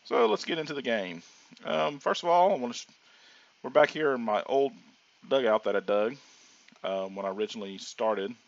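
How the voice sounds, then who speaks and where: A hoarse man casually narrating his own gameplay as a channel host, rambling with plenty of ums and restarts. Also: mid-range voice, normal speed, no room sound. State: hoarse from sinus trouble. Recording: dull lo-fi audio.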